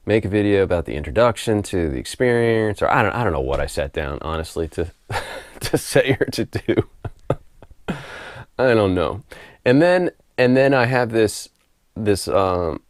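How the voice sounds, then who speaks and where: A middle-aged man with a hoarse voice from a head cold, talking to camera at home in a relaxed, rambling way, often repeating words as he gathers his thoughts and laughing softly now and then. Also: fairly low pitch, a little slow, dry recording, studio quality. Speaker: spiritual author and video host. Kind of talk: casual unscripted monologue to camera. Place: home room.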